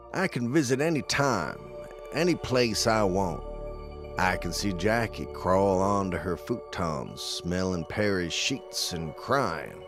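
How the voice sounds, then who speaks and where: An actor playing a dead man who calmly narrates an audio drama with slow, measured, deliberate delivery. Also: lowish voice. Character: dead man narrating, calm and all-seeing.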